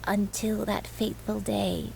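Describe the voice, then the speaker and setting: Slow-spoken gentle grieving grandmother. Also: medium pitch, level tone, creaky, slight background noise, rough recording. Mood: wistful recounting. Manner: slow, tender, solemn confessional delivery. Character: gentle grieving old grandmother, secretly a crane spirit. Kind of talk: dramatic game dialogue.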